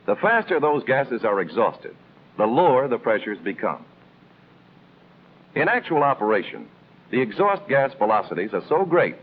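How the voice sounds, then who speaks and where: An American man reading scripted instructional narration in the style of a 1950s military training film, explaining in a measured, didactic way with clear emphasis. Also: mid-range voice. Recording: tinny band-limited old film soundtrack, noisy.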